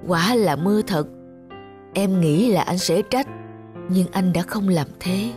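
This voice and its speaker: A woman's voice: lowish voice, takes it slowly, darkish tone, faint music behind. A woman voicing an ageing widowed mother in a cartoon, tender, sad and devoted to her late husband. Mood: tender, nostalgic. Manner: tender, melancholy.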